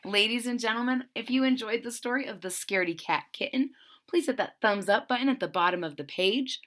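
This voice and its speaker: A woman reading a children's story aloud in an expressive style, with simple retelling asides. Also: a little high, fairly quick.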